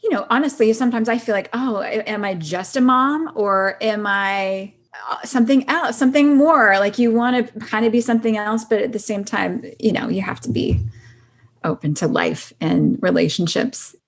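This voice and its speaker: A woman's voice: medium pitch, moderate pace. A woman guest on a podcast, talking casually and effusively, peppering her speech with "like" and "you know". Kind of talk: casual chat, personal reflection.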